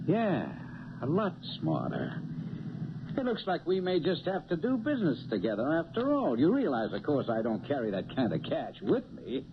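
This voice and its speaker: An actor playing a slick, menacing male crook in a 1970s radio drama, sardonic and excitable, swinging between mock surprise and threat. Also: on the high side, moderate pace. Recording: thin phone-like old radio, very loud car noise.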